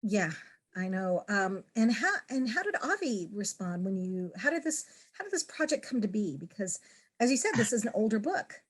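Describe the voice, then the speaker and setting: A woman's voice: medium pitch, sing-song, normal speed. A woman hosting a conversational interview in an enthusiastic, agreeable manner. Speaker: interview host.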